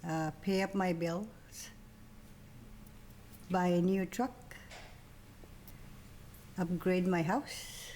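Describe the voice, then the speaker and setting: A woman in her sixties, an elder from Canada, answers interview questions plainly and briefly. She speaks very slowly in a lowish voice, with a faint hiss in the background. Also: fairly even intonation, a little mellow. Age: 60s. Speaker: community elder.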